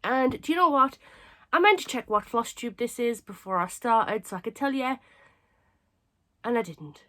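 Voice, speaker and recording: A young woman from England with a cold, sounding hoarse and stuffy, chatting casually and rambling to camera as a craft channel host. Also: a little high, animated, normal speed, fairly bright tone. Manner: chatty, rambling, self-mocking.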